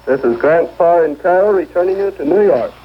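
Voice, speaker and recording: A man's voice: on the high side, lively intonation, a little fast. A man reading a scripted 1940s radio war report as a news correspondent. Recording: noisy muffled 1940s shortwave radio recording.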